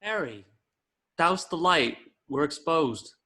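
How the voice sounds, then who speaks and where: A man playing a composed, protective wizard guard in an amateur script read, measured and instructing. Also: fairly high pitch, lively intonation, bright, forceful tone, heavily acted. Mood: calm, instructing.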